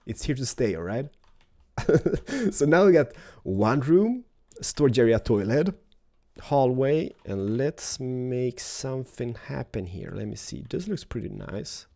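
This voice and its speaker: Man, slight cold, playful, thinking aloud, mellow voice, keyboard clicks behind. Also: mid-range voice, very animated, slow pace, gravelly. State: has a cold. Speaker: Minecraft building host. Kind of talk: casual build commentary.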